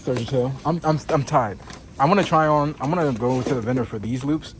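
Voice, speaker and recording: A man's voice: medium pitch, a little fast. A young man in his twenties bantering playfully with classmates in a dental school lab, filmed on a handheld camera. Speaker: vlogger, dental student. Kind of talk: casual chat with classmates in a lab. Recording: noisy lab ambience.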